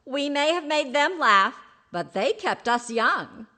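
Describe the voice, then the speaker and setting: A woman playing a kindly older wife in an old-time radio comedy, gracious and gently correcting. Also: sits high, lively intonation, slow, heavily acted. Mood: gracious, fond.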